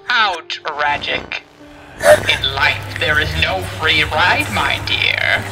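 A man's voice: fairly high pitch, very slow, loud music behind the voice, low-quality recording. A man voicing a sinister, suave male demon who talks in a theatrical, mocking way with honeyed menace. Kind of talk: fan comic dub.

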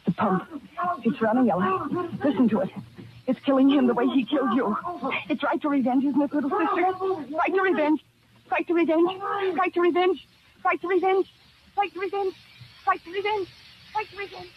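A woman's voice: extremely high pitch, fairly quick. An actress playing a young woman driven mad and obsessed with revenge, intense and repetitive, in 1940s radio style. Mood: crazed, chanting. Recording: noisy dull 1940s radio recording.

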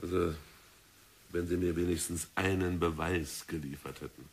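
A man's voice: sits low, fairly even intonation, normal speed, husky. An actor playing a stern, worried male bank director in an old radio play. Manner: stern, grudging, fretful. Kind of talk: 1960s radio drama acting.

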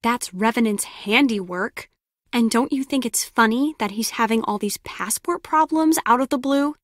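A woman's voice: a little high, normal speed, no room sound, clean studio sound, lightly acted. An actress playing a brusque, sarcastic lone-wolf female spy in a video game, with a curt, biting delivery. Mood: firm, guarded. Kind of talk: scripted game character dialogue.

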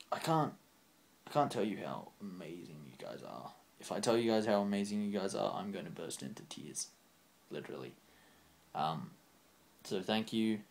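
A young man signing off his vlog, rambling off the cuff and earnest, his voice getting a bit croaky. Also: lowish voice, darkish tone. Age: young adult. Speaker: channel host. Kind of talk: unscripted vlog sign-off. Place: small room indoors, on a couch.

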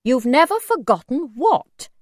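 A woman doing a frosty, stern mother, swooping pitch. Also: wildly swooping pitch, takes it slowly, no echo, clean studio sound, exaggerated voice. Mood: stern, indignant. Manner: sharp, curt, haughty. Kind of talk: storybook character voice.

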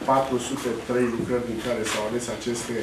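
A man's voice: mid-range voice, flat delivery. A man in his fifties, organizer of a photo salon, speaking hesitantly with many fillers. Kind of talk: interview statement. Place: museum exhibition hall. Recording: echoey hall, distant mic, noise.

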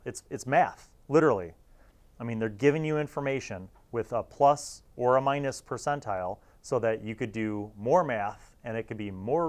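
A man in his forties hosting a casual review, talking conversationally with emphatic asides into a lapel mic. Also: lowish voice. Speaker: host of a tabletop gaming review series. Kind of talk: casual review and commentary on a magazine.

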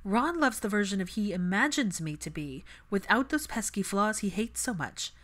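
An impassioned young daughter stands her ground as a woman narrator acts her out. Her voice rides higher and more forcefully, emphatic and argumentative, in the manner of a storybook reading. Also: animated, normal speed.

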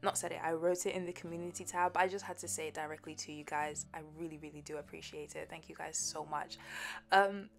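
A woman's voice: low voice, fairly even intonation, quick pace, creaky. A young woman speaking casually and sincerely to her listeners as an audio creator. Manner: sincere, informal.

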